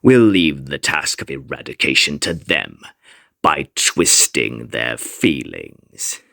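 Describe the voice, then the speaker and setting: Gloating evil overlord, sinister n condescending, super animated swings, gravelly. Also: male voice, a bass voice, slow pace, no room sound, heavily acted. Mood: scheming, sinister. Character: scheming, gloating otherworldly villain lord. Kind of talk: comic fandub voice acting.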